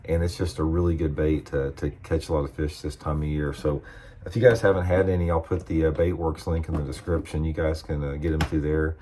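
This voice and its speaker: Very deep-voiced older man, scratchy. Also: a little fast. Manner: relaxed, folksy, addresses viewers as guys. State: hoarse, scratchy voice. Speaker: fishing instructor and channel host. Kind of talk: casual how-to video talk.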